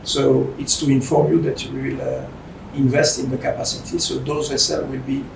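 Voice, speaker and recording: A man from Belgium with a French-speaking Belgian accent, giving a semi-formal speech as a company director in halting, non-fluent English. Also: flat delivery, gravelly. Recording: roomy, distant mic with faint rumbling noise.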